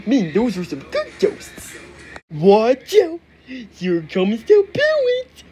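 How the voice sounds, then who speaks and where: Male actor as cheerful hungry video-game cartoon hero, exclamatory, animated pitch. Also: extremely slow, over music, full character voice. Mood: upbeat.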